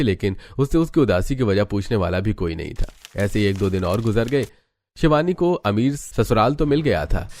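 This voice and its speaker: A man's voice: lowish voice, darkish tone, no echo. A man narrating a scripted family drama story as its dramatic storyteller.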